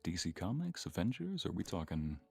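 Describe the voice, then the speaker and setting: Low, very husky man, playfully bantering. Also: big pitch swings, normal speed, mellow, dry recording, faint music behind. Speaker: guest voice creator. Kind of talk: casual chat.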